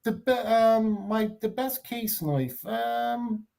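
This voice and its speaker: A man's voice: very high voice, animated, slow pace, creaky, a little mellow. A fifty-year-old man in a casual chat, talking effusively and often repeating his phrases. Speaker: guest on a livestream chat.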